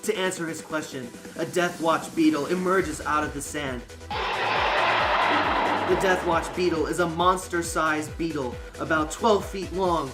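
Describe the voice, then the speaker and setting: Higher-pitched man narrating a story.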